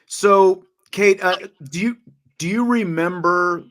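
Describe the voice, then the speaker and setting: A man's voice: high-pitched, slow, fairly bright tone. A man, a radio announcer, conducting a friendly conversational interview and often restarting his questions.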